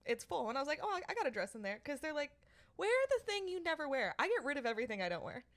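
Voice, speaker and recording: A woman's voice: high voice, big pitch swings, very fast. A woman co-hosting a casual podcast chat, teasing and laughing often. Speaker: podcast co-host.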